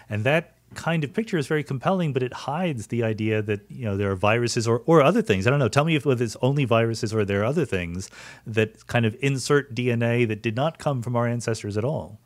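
A man's voice: on the low side, dry recording. A man hosting a science podcast, asking curious questions and thinking aloud as he talks. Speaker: podcast host. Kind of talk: conversational science interview.

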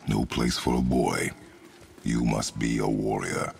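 Gravelly, extremely deep stern warrior father. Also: unhurried, darkish tone, full character voice. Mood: stern lecturing. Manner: terse, formal, commanding. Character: stern battle-hardened warrior father, restrained and commanding. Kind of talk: video game voice acting.

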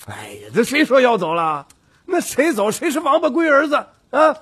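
Old troupe leader, audiobook, obsequious, flustered, stammering, very animated pitch. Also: male voice, normal speed, breathy edge, heavily acted. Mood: placating, fawning. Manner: stammering, wheedling, full of sighing interjections.

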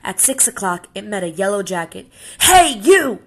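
A woman's voice: medium pitch, fairly quick. A woman narrating a children's story as she reads a picture book aloud.

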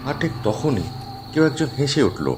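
A man's voice: medium pitch, sing-song, normal speed, over music. A man narrating a cartoon folk tale with dramatic storytelling.

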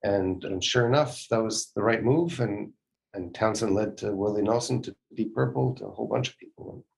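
A man's voice: on the low side, moderate pace, creaky, poor audio. A middle-aged man from Canada talking casually and reflectively as a guest on a video call, repeating phrases for emphasis. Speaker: guest, production executive. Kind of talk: casual reflective interview talk. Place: indoor room on a video call.

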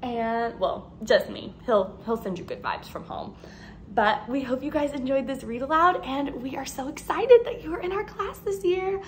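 Animated, high-voiced woman reading to kids. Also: normal speed, slight background noise. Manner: expressive, animated delivery for young children. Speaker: second grade teacher. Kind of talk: children's picture book read-aloud. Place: living room at home, indoors.

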